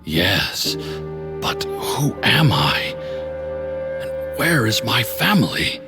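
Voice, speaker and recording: A man voicing a dying, memory-lost warrior in a video game, speaking haltingly and weakly with a gravelly, dramatic delivery. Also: lowish voice, sing-song, full character voice. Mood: confused, weary. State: weak, coughing. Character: dying amnesiac warrior, a yaksha, grieving and resolute. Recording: loud music behind the voice.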